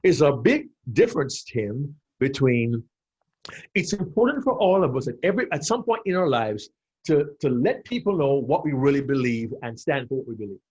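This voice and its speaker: A man's voice: medium pitch, sing-song, normal speed, a little mellow, husky, highs cut off. A man in his fifties from Jamaica, coaching on public speaking as a podcast guest, emphatic and given to repeating his key phrases. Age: 50s. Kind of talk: animated coaching explanation.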